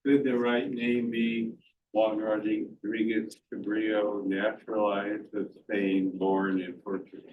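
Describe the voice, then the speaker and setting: Low-voiced man, distant and muffled. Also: little pitch movement, takes it slowly. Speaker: audience questioner. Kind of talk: spontaneous question from the floor. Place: indoor conference room, away from mic. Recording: distant, muffled, noisy echoey room.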